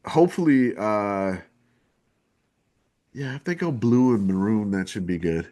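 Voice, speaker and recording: A man with a low-pitched voice and a New Orleans drawl talks in a loose, rambling way. He jumps between thoughts, restarts sentences and piles on fillers with plenty of enthusiasm. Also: animated, a little slow, mellow. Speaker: podcast guest. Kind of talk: casual chat.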